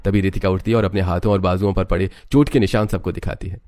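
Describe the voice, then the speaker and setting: A man's voice: low-pitched, steady tone, quick pace, darkish tone, soft background music. A man reading scripted, dramatic narration for a family story.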